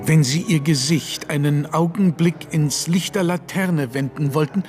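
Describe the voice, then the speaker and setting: An actor playing a courteous, troubled Victorian gentleman in a German radio play, grave and formal, with a husky voice. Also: on the high side, music in the background, lightly acted. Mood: firm, courteous. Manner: measured, formal, brooding.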